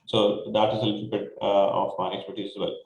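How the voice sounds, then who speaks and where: A man's voice: lowish voice, flat delivery, brisk, a little mellow. A man with a Pakistani accent introducing his work informally as a panelist. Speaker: marketing manager panelist. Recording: echoey room with faint noise.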